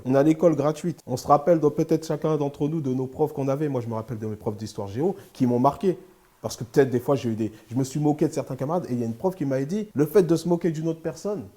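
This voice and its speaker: A middle-aged man answering interview questions, steady and emphatic, stacking up his points in short repeated phrases. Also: a little high. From France. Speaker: interview guest, lawyer and sports agent. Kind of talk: candid interview talk.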